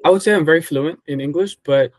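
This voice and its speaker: A man in his twenties from Egypt, a native Arabic speaker, answering interview questions in relaxed, casual English with many fillers and self-corrections. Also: on the high side, quick pace, no echo, clean studio sound. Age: 20s.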